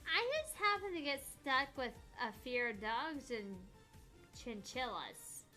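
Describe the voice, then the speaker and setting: Brief: woman as a young, playful visual novel android, cheeky, high-pitched, bright, slow-paced. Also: sing-song, soft background music, heavily acted. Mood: cheeky, chatty.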